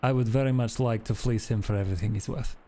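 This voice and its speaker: A man voicing a sardonic, smug vampire interrogator in a tabletop game, with courteous, deliberate phrasing. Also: medium pitch, steady tone, mellow, close to an everyday voice. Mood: coolly menacing. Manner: deliberate, polite threats.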